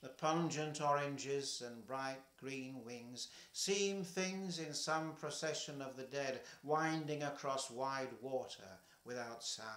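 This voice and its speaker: Older man reading poetry slowly. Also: medium pitch, reverberant. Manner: slow, measured, trailing softly at line ends. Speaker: poetry reader. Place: home kitchen, indoors.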